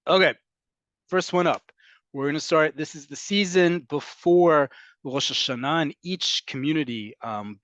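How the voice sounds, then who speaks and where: A man's voice: fairly high pitch, a bit bright, no echo. A man from North America teaching an online class over a video call, explaining at length in a conversational way with frequent ums. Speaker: Hebrew teacher.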